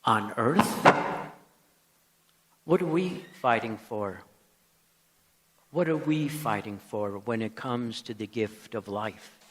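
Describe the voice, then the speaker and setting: Slow priest in an echoing church. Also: male voice, mid-range voice, a bit bright. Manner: solemn, emphatic repetition, deliberate pauses. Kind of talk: sermon. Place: large church interior. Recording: echoey church with long reverb.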